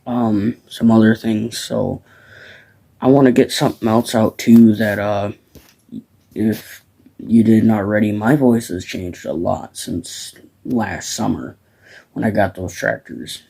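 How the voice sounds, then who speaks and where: A man's voice: lowish voice, slow pace, vocal fry, warm tone, breathy edge, poor audio. A teenage boy from the Midwest, rambling casually about farms outdoors at dusk, with lots of fillers and restarts. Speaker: farm vlogger.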